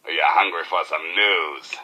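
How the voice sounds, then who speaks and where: An actor playing a cocky, flamboyant post-apocalyptic rebel radio DJ with an American accent and showy, slangy delivery in a video game. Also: male voice, low voice, steady tone, pushed and bright, exaggerated voice. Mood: wry, confiding. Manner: showy, slangy, drawn-out emphasis with howls. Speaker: radio DJ and newscaster. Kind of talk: theatrical radio DJ patter.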